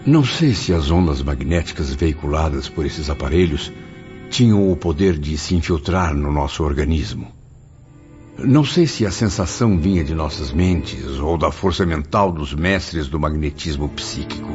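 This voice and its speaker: A male actor gives a grave, reflective spirit memoirist with a low voice, narrating in literary Brazilian Portuguese for a radio drama. The recording is a little dull, with prominent music underneath. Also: expressive, normal speed, darkish tone. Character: grave male spirit recounting his anguished memories.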